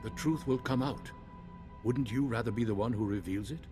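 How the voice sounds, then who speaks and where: An actor playing a grave, authoritative commander in a video game, stern and steady. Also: male voice, mid-range voice, moderate pace, music in the background, lightly acted. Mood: stern, probing. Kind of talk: video game cutscene acting. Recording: hall reverb with faint ambient noise.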